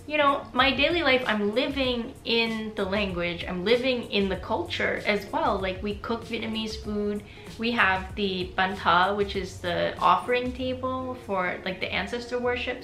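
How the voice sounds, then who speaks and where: A woman's voice: medium pitch, pushed and bright. A young adult woman chatting casually in a personal vlog, with frequent "like" fillers and easy laughter. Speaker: vlogger. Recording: roomy echo with faint music.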